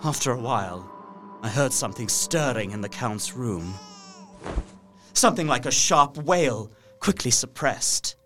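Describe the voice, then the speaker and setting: This is a distressed young captive gentleman, reading his journal in an audio drama. His voice is medium in pitch, earnest and intense. The wording is formal, and music plays faintly underneath. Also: lively intonation, unhurried. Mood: fearful. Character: distressed young Englishman imprisoned in a castle, journaling. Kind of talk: dramatic first-person journal narration.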